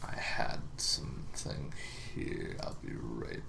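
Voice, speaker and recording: A man giving a casual, unscripted explainer as a host, halting and rambling with long pauses, over some background noise. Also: in a whisper. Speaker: host of a tabletop gaming lore series.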